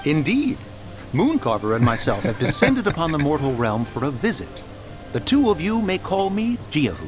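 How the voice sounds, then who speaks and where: Lofty, expressive ancient immortal. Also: male voice, a little high, normal speed. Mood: courteous. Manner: archaic, formal, lofty, expressive. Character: proud, touchy, refined ancient male adeptus in human form. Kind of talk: English video game dub acting. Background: background music.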